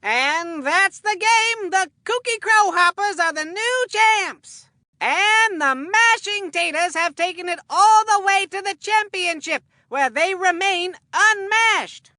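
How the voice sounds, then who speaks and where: High bright old grandpa baseball announcer, folksy n rambly, forgetful, cartoon game style. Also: slow, no echo, studio quality, heavily acted. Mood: excited announcing. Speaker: sports commentator in a children's baseball game.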